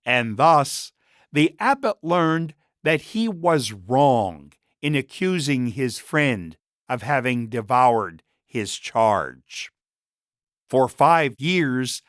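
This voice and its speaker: Male narrator, unhurried pace, lively intonation, scripted storytelling, measured and expressive, dry close sound. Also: mid-range voice, no echo.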